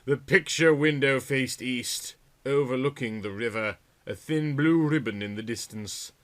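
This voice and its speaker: A man's voice: medium pitch, a little slow, fairly bright tone. A man reading a story aloud in a theatrical, comic style with exaggerated, melodramatic delivery. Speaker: audiobook reader.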